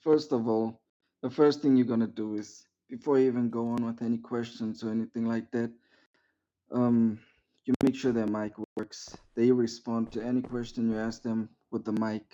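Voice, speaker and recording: Brief: male game-squad trainer, monotone and mellow, formal with "sir", muffled mic. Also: mid-range voice, moderate pace. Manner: instructive, formal, ends lines with "sir", many "um"s. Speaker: military unit trainer in a roleplay game. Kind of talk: giving a trainee feedback over game voice chat.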